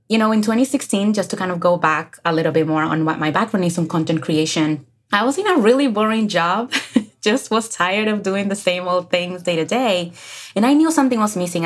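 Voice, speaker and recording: An energetic woman in her thirties from the Dominican Republic, speaking with a Dominican Spanish accent as a guest on an interview podcast, who laughs often. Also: fairly low pitch. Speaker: guest, career coach. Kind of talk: casual interview chat.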